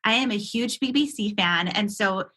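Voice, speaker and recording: A woman's voice: medium pitch, normal speed, pushed and bright. A woman hosting a podcast, interviewing a guest in an effusive, enthusiastic way. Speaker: podcast host.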